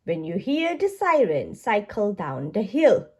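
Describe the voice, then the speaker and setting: Woman reading as a friendly, slow-talking storybook police officer. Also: medium pitch, wildly swooping pitch, mellow, husky, heavily acted. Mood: instructive. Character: friendly police officers instructing young children. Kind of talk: storybook read-aloud.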